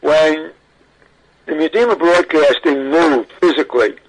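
A man's voice: on the high side, a little slow, rough recording. An older American man telling his story conversationally as an interview guest, stressing points emphatically. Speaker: interview guest, audio archivist.

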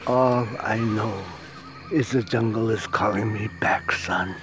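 An actor playing a stubborn old jungle-born miner in an audio drama, speaking in halting, solemn, plain sentences. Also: male voice, fairly low pitch, music in the background. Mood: wistful conviction. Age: older. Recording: noisy outdoor ambience behind voice.

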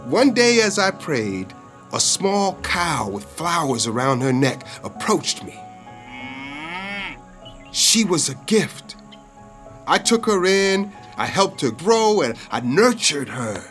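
A man's voice: fairly high pitch, very animated, slow, a bit bright, soft background music, heavily acted. An actor playing Otis, a cheerful, talkative man who calls himself a prophet, in an audio drama. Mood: storytelling. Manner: upbeat, chatty, storytelling. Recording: long hall reverb.

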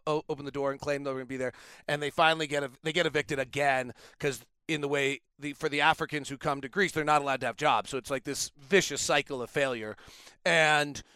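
A man's voice: mid-range voice, sharp tone, clean tone, no echo. A man hosting a casual sports talk interview, giving opinions and rambling into stories. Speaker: podcast host.

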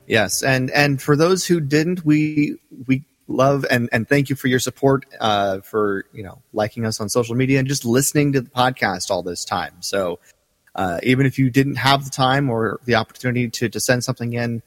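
A man's voice: mid-range voice, normal speed. A man co-hosting a podcast in casual, enthusiastic chat, laughing easily and restarting sentences. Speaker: podcast co-host.

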